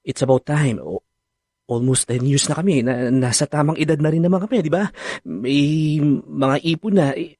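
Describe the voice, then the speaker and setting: A man's voice: on the high side, normal speed, warm tone, no room sound, clean studio sound. A man with a Filipino accent playing an anxious, devoted boyfriend in a radio drama, speaking in a hesitant, earnest way. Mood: nervous, determined.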